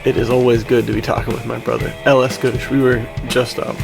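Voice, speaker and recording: Man with a heavily creaky, gravelly voice. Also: mid-range voice, flat delivery, fast, over music. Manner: informal, slangy. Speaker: podcast host. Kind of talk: casual banter between friends.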